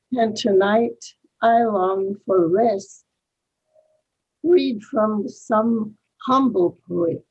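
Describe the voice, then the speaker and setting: Older lady reading a poem aloud, really slow, mellow voice, bit muffled recording. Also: mid-range voice, breathy edge. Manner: measured, deliberate phrasing. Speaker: poetry reader. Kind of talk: poetry recitation.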